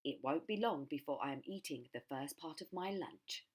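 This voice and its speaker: A woman voicing a huge, sly, greedy crocodile in a children's storybook, gloating over every drawn-out word. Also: deep voice, expressive, husky. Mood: scheming. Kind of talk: children's storybook read-aloud.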